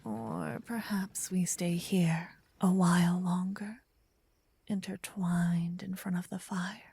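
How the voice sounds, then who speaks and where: A woman playing a gentle, possessive, lovestruck vampire in a soft, intimate, breathy ASMR whisper, close to the ear. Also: lowish voice, steady tone, no room sound, full character voice. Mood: playful, affectionate. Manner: intimate, slow, teasing. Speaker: ASMR roleplay performer. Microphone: close binaural ear-to-ear microphone.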